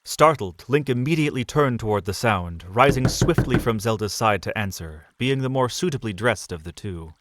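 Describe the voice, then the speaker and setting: A man narrating a romantic fan audio drama, measured and soft. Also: on the low side, animated, a little hiss or hum.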